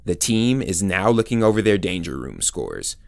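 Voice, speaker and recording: A man's voice: low voice, big pitch swings, moderate pace, vocal fry. A man narrating a scripted audio drama in descriptive present-tense storytelling.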